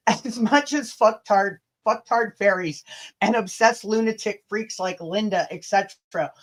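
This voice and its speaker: A woman livestream host with a medium-pitched voice and an unpolished, conversational delivery. She is blunt and sarcastic, swears freely, and moves between casual chat and pointed, dramatic reading aloud. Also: measured pace. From Canada.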